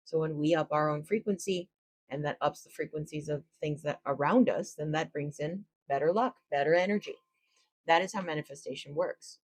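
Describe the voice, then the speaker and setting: A woman with a low voice, a tarot reader gently explaining spiritual ideas in a casual, reflective talk to camera. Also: no echo.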